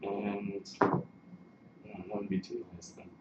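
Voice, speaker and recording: A young man with a lowish voice talks in a halting, casual way. He sounds far from the microphone in a noisy, echoey room. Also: completely flat delivery. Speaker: student senator. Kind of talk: casual chat. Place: meeting room. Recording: distant muffled mic, echo and noise.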